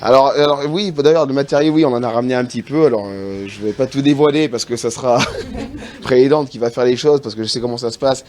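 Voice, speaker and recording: A man from France speaking as a TV studio guest, earnest and halting, often restarting his sentences. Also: medium pitch, a little mellow. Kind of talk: casual TV interview answers. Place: TV studio set.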